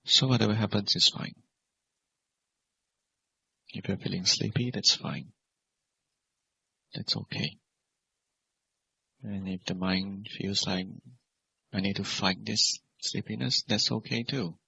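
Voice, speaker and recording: Low, husky man guiding a meditation. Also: fairly even intonation. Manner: measured, simple phrasing with gentle questions. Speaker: Buddhist monk and meditation teacher. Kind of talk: guided meditation instruction. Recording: dull, lo-fi recording.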